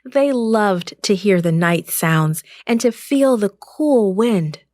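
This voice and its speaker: A woman reading a scripted children's Bible story in a measured, careful read-aloud manner. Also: medium pitch, animated, darkish tone, no echo, clean studio sound.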